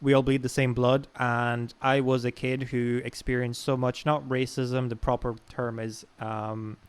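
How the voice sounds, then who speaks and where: A man's voice: mid-range voice, level tone, measured pace, clean tone. A young man with an Irish accent telling personal stories in a casual, informal, sweary chat as a podcast guest. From Ireland.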